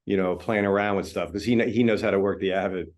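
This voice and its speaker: A man's voice: low voice, quick pace. A male film editor talking casually in an interview, full of fillers and restarts. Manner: relaxed, chatty, many fillers and restarts. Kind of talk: interview conversation.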